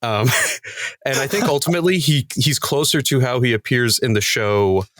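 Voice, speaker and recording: A man with a mid-range voice chats casually as part of a podcast cast. He tells stories in an animated way and slips into little acted-out asides. The sound is dry, with very little room. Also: medium pitch, normal speed, no room sound. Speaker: podcast cast member discussing their show. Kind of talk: casual panel chat.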